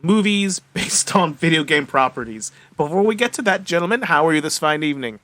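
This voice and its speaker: A man with an American accent and a fairly high, bright voice. He hosts a podcast, steering loose and casual banter among co-hosts. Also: expressive, a little fast, dry recording. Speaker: podcast host.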